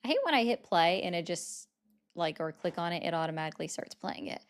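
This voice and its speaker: A woman's voice: lowish voice, lively intonation, quick pace. A woman hosting a casual political livestream, chatting with viewers and thanking them for gifts.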